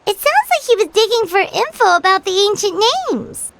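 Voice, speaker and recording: A woman's voice: very high-pitched, expressive, moderate pace, creaky, a bit bright, a little hiss or hum, exaggerated voice. A woman voicing a tiny, childlike companion creature in a video game, wary and chatty. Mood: suspicious.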